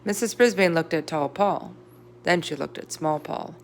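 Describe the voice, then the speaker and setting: A woman's voice: deep voice, moderate pace, slight background noise. A woman reading a children's storybook aloud as narrator. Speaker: read-aloud reader. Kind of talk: children's book read-aloud, character voices in dialogue.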